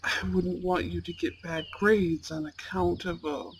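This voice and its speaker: Someone acting as possessive school bad boy boyfriend, young guy, asmr romance, real slow n formal, crickets in the background. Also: medium pitch, flat delivery, a little hiss or hum, lightly acted. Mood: probing, jealous. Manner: slow, formal, deliberate phrasing, velvety menace. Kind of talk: ASMR romance roleplay, intimate scripted monologue. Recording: clear background insect and nature ambience. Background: crickets and outdoor nature sounds.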